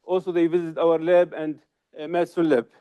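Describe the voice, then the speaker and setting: Middle-aged man, high smooth voice, halting seminar delivery, slightly muffled recording. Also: flat delivery. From Syria. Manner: halting, frequent hesitations and restarts. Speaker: research associate giving a seminar. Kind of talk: academic seminar lecture.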